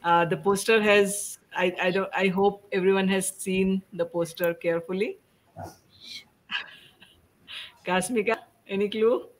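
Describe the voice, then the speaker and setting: A woman's voice: medium pitch, fairly even intonation, a little slow, pauses often, low-quality recording. A middle-aged woman hosts an online panel in an informal style. She takes her time as she puts questions to the group and prompts people to answer. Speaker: host of a panel discussion. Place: indoors at home, on a video call.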